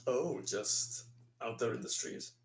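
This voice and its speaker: A man playing a tabletop roleplaying game, speaking casually in character in a halting, hesitant way with many fillers. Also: medium pitch, lively intonation, gravelly. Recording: faint background noise and hum.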